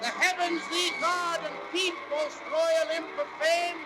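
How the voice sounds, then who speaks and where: A man playing a fat, tipsy, fawning old knight, hailing loudly in drawn-out 1930s radio Shakespeare style. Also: extremely high pitch, little pitch movement. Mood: boastful, jubilant. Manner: loud drawn-out hailing over a crowd. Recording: noisy old radio recording, roaring crowd.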